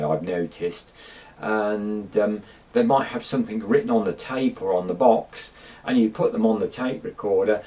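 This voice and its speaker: A man's voice: lowish voice, warm tone, recorded from a distance. A middle-aged man, casually talking about old tape recordings, rambling with many ums and restarts. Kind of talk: casual chat to camera.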